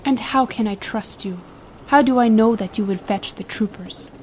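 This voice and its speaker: A woman's voice: on the high side, moderate pace, slight background noise, lightly acted. A woman playing a proud, defiant young noblewoman in a dramatic audiobook, speaking with formal, impassioned dignity. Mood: wary, proud. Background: ambience under the voice.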